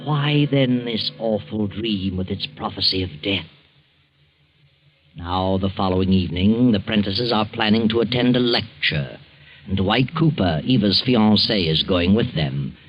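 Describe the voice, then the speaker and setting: An American actor playing a mysterious, ominous all-knowing narrator in a theatrical 1940s radio mystery style. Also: male voice, fairly low pitch. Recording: dull old 1940s radio with music behind.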